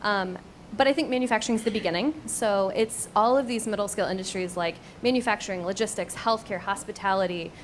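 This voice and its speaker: A woman's voice: medium pitch, brisk. Woman executive on panel, mid-range voice, fluent explanations, faint noise. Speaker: company co-founder and panelist. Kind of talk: panel discussion answers.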